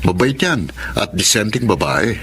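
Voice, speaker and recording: An actor playing a frank, good-natured office buddy in a Filipino radio drama, casual and matey. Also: male voice, fairly low pitch, big pitch swings, a little slow, fairly bright tone, heavily acted. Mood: casual, candid. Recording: clear background ambience noise.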